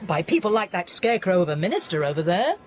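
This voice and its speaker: A woman playing a rational, patient scientist in an audio drama, explaining things in a measured, thoughtful way. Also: lowish voice, very animated, slight background noise.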